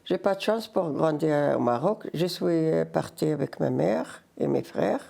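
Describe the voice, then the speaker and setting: An older Berber-speaking woman from Morocco and Algeria with a North African accent, telling her life story in halting French mixed with Arabic. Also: deep voice, animated, vocal fry, dark tone. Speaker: interviewee, grandmother. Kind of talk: casual life-story interview.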